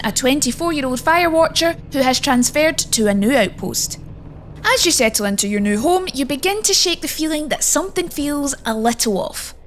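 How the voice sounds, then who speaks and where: A woman's voice: high voice, fairly bright tone, a little hiss or hum. A woman narrating a scripted video game list as its presenter.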